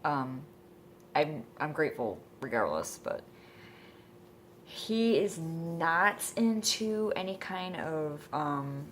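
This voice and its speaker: Late-20s woman, stuffed up with a cold, low voice, lots of um, bit of faint hiss behind. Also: big pitch swings, slow. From Michigan. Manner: rambling, hesitant, full of ums. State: congested from a cold. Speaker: vlogger. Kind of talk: casual introduction vlog. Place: indoors at home.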